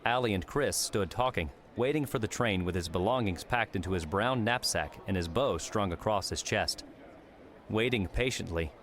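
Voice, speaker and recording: A man with a low, deep voice narrates a story in the manner of an audiobook. The reading is scripted, and there is noise behind the voice.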